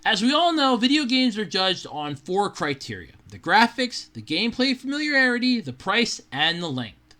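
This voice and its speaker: A podcast host reading out an article with sarcastic, animated delivery and casual, profane asides. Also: mid-range voice, moderate pace.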